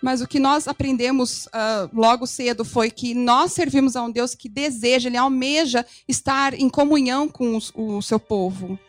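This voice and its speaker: A woman's voice: on the high side, moderate pace, hardly any pauses, no room sound, soft background music. A woman with a Brazilian accent giving live consecutive interpretation of a sermon, phrase by phrase.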